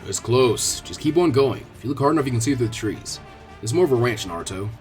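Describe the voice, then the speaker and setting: A man reading the part of a calm adult ninja squad leader in a story, easygoing, measured and instructive. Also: mid-range voice, soft background music, voice pushed down, lightly acted. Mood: patient.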